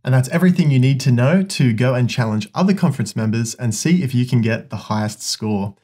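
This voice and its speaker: A man's voice: medium pitch, animated, fairly quick, warm tone. A young man, casually explaining an electronics project in a workshop in a friendly, upbeat way. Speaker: electronics presenter.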